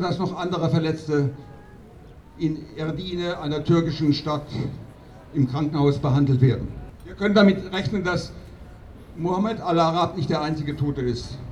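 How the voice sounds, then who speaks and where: A man delivering a political speech at a protest rally in an emphatic, accusatory, declamatory way with deliberate phrasing. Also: sits high, a little mellow. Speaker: activist doctor speaking at a protest rally. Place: outdoor rally. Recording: distant outdoor mic with clear noise.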